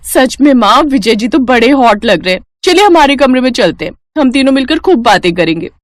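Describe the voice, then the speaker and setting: A woman playing a bubbly high-voiced young girl. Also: very animated, a little fast, no echo, clean studio sound. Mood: playful. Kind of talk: cartoon dubbing.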